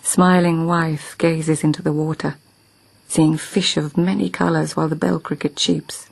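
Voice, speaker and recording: A proud court lady in an old palace, haunted by what she has seen. Her voice is husky and a little gravelly, close and dry, and it often sinks to a half-whisper. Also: sits low, level tone, unhurried, a little mellow, no echo, full character voice, rough recording. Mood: dreamy. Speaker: lead character. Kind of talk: BBC radio drama acting.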